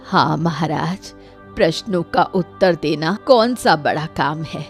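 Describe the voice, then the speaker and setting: A woman's voice: low-pitched, expressive, takes it slowly, soft background music, exaggerated voice. A woman voicing a plain-spoken, respectful old village woman in a cartoon story, deferential but complaining. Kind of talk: Hindi cartoon story voice acting.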